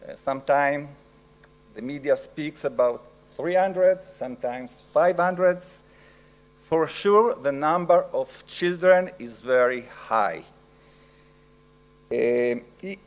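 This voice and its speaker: A middle-aged man with an Italian accent giving an academic lecture, slow and halting with frequent pauses. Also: a little high, a little mellow.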